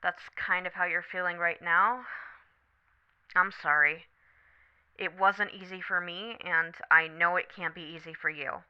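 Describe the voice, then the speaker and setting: A woman playing a supportive, candid best friend on a late-night call in an ASMR roleplay, talking casually, gently and thoughtfully. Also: on the low side, fairly even intonation, unhurried, smooth, muffled recording, close to an everyday voice. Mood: reassuring. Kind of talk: scripted ASMR roleplay, one side of a phone conversation.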